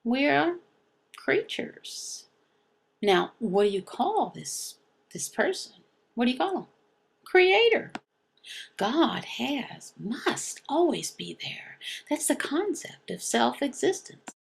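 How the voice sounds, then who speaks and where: Female religious explainer: slow, gravelly, emphatic and halting, with short repeated phrases. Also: high voice, sing-song. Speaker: teacher voicing a signed religious lesson. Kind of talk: slow explanatory religious teaching, question and answer.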